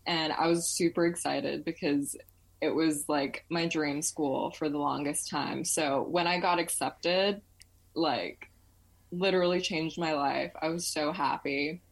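Low-voiced young woman, chatty guest. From Malaysia. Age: 20s. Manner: chatty, filler-heavy, laughs often. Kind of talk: casual interview chat.

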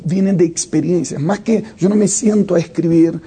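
Worship leader, thirties, male, higher-pitched but husky, reflective with hesitations. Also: steady tone, moderate pace, warm tone. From Brazil. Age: 30s. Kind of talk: interview answers.

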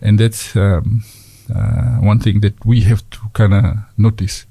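A man with a Fijian accent hosting a community radio chat, halting with many fillers and slipping into Fijian phrases. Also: fairly low pitch, fairly even intonation, vocal fry, warm tone, slightly rough, dry recording. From Fiji. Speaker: radio host.

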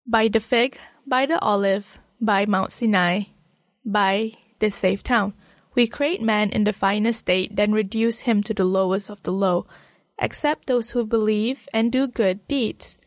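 A woman radio host reading her introduction in a measured, formal way. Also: mid-range voice, no room sound. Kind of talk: scripted radio hosting.

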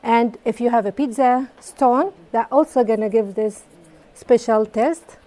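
Woman from Iraq, baking teacher, high voice, mellow tone, halting English, faint background hiss. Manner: simple, halting English with fillers. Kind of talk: hands-on cooking demonstration. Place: community hall kitchen.

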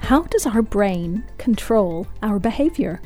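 Woman announcer over soft music. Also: mid-range voice, lively intonation, a little slow. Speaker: radio announcer. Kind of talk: scripted announcement.

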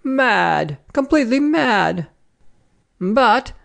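A woman performs a prim little Frenchwoman in a narrated story. Her voice sits high and swings widely in pitch. She is nosy and smug, drawing out emphatic words with relish. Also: sing-song, drawn-out words, vocal fry, fairly bright tone, exaggerated voice. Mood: scornful relish. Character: prim, gossipy Frenchwoman, nosy and smugly triumphant train passenger.

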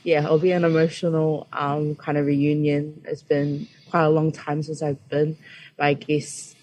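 Low, flat-voiced young woman. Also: a little slow, poor audio. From New Zealand. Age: young adult. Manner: soft-spoken, hesitant with many ums. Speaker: student association exec member, guest. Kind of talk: casual radio chat.

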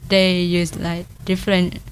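A woman from Thailand, a native Thai speaker, sharing her experience in a thoughtful, unhurried way with filler sounds. Her voice sits a little low, and there is a faint hiss in the recording. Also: monotone, low-quality recording. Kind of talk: casual interview answers.

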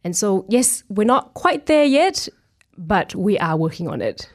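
A woman's voice: medium pitch, very animated, measured pace, darkish tone. A woman from Thailand answering questions casually as a guest in an interview. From Thailand, from Bangkok. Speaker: interview guest, web developer at a software company.

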